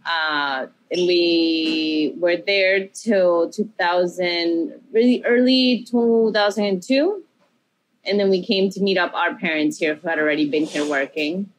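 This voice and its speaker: A woman's voice: fairly low pitch, unhurried, rough recording. A woman from Peru, a chef and interview guest, telling her story in a rambling, self-correcting, upbeat way.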